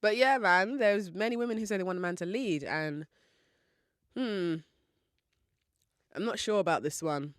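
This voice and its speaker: Woman, young adult, podcast host, playful, chatty, medium pitch, dry sound. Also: moderate pace, long pauses, clean tone, no echo. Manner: chatty, playful, asks listeners rhetorical questions, laughs often. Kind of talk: solo podcast monologue.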